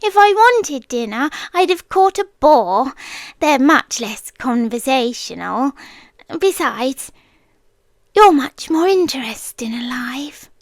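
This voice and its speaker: A woman's voice: high-pitched, sing-song, takes it slowly, no room sound, exaggerated voice. A British woman playing a playful, tender, possessive young forest monster girl in an ASMR roleplay, teasing and intimate, often slipping toward a whisper.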